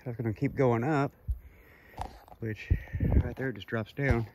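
A man narrates casually while hiking through the woods, a little out of breath. His voice sits at a middle pitch. The handheld outdoor recording carries noticeable noise. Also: steady tone, measured pace, highs cut off. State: out of breath from walking. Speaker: vlogger exploring caves.

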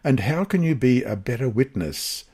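A man with a husky voice reads a scripted devotional lesson aloud in a measured, careful manner. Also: mid-range voice, expressive, darkish tone. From Australia. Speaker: lesson reader.

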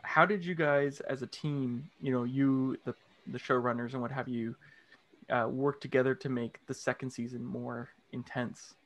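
A man in his thirties hosting a film interview show from a home room, reading a scripted intro and then asking questions with halting restarts. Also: mid-range voice, fairly even intonation. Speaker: host. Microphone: large studio condenser mic with headphones.

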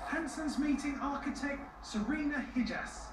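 A woman's voice: high voice, normal speed, recorded from a distance, noisy background. A woman reading scripted narration for a television documentary. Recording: echoey TV re-recording from afar, music. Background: music.

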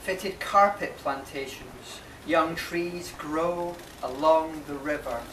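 Slow, echoey man reciting poetry. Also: on the high side. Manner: measured, pausing between short phrases. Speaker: poet reading own work. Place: inside a marquee at a live reading. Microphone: camera mic a few metres away. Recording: distant, echoey, noisy camera recording.

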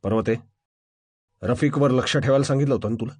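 A man's voice: medium pitch, fairly quick, darkish tone, husky, no room sound, studio quality, voice pushed down, lightly acted. A man voicing a furious, commanding police officer in a crime audio drama, menacing, with short repeated orders and questions. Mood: menacing, restrained anger. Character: furious, commanding male police officer interrogating his subordinates.

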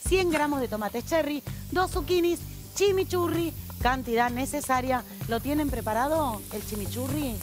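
A woman's voice: sits high, normal speed, few pauses. A woman in her thirties with an Argentine accent, hosting a TV cooking competition, upbeat, urging the cooks along and reading out recipes. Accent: Argentine Spanish. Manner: upbeat, urges contestants along, reads out recipes. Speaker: cooking show host. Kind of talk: lively TV cooking show hosting. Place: TV studio kitchen set. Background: music.

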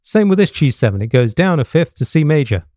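A man's voice: on the high side, very animated, brisk, dark tone, dry recording. A middle-aged man gives an instructional music lesson, explaining and checking understanding with short tag questions, close to a studio mic. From England. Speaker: jazz piano teacher. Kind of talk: instructional lesson talk with piano demonstrations. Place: small home study with bookshelves. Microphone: large studio mic on boom arm, close. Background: piano playing.

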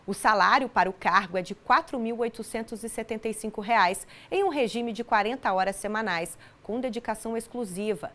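A woman, presenting scripted news in a formal, clearly articulated way from a TV studio on a lapel mic. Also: on the high side, a little fast, few pauses, fairly bright tone.

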